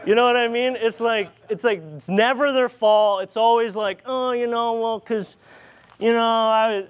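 A man's voice: very high voice, steady tone, unhurried, smooth. A man from the Philippines with a Filipino accent, preaching in a loose, rambling storytelling way with many fillers and restarts. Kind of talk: informal sermon storytelling.

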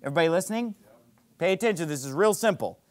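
Male pastor, high-pitched, emphatic sermon delivery in church, sarcastic asides. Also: lively intonation, fast. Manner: emphatic preaching, swinging between loud peaks and low drops.

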